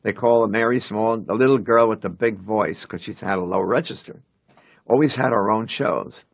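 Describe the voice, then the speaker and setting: An older man looks back on the past in a rambling, loose interview. His gravelly, fairly low voice is recorded dry and close. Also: moderate pace, dry recording. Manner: rambling, with fillers and restarts. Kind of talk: reminiscing interview.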